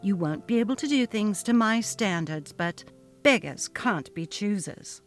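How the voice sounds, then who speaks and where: A woman's voice: mid-range voice, lively intonation, a little slow, soft background music, natural voice. A woman voicing a haughty, narcissistic female office manager who talks down to her staff, in a dramatically read story. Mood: condescending. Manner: haughty, cutting, demanding. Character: snobby, imperious, narcissistic female office manager, cold and bullying. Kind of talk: dramatic reading of a text-message story.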